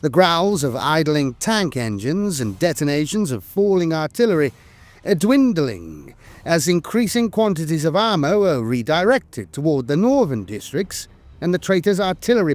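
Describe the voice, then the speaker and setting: Higher-pitched man narrating lore, lively intonation. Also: normal speed, dry recording. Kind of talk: scripted documentary-style lore narration. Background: music.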